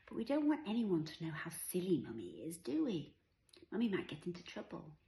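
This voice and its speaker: A woman's voice: lowish voice, wildly swooping pitch, brisk, vocal fry, dark tone, heavily acted. Woman reading as playful young mother, roomy echo. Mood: gently conspiratorial. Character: young mother, playful, conspiratorial, gently decisive. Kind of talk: audiobook character voice.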